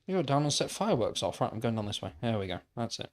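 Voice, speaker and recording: A man's voice: mid-range voice, very animated, fast talker. Man musing aloud while gaming. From Wales. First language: Welsh. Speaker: gaming livestreamer. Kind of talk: casual chat while playing.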